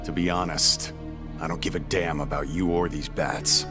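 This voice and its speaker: A man playing a grim, weary, battle-hungry warrior in a video game, with a terse, brusque, deadpan delivery. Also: sits low, moderate pace, music in the background, close to an everyday voice. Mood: cold, weary. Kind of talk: video game cutscene acting.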